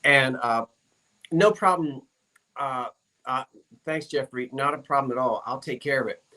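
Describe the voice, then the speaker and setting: A man's voice: medium pitch, measured pace, pushed and bright, poor audio. Man, live-stream sale host; casual, rambling chat with viewers, many fillers. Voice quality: frequent fillers and self-corrections. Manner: chatty, rambling, reads out viewer comments.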